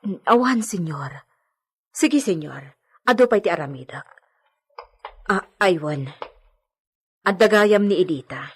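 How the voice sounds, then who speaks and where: A woman's voice: on the low side, animated, a little slow, long pauses, breathy edge. A woman with an Ilocano accent playing a deferential, fretful household maid in a radio drama, given to muttering.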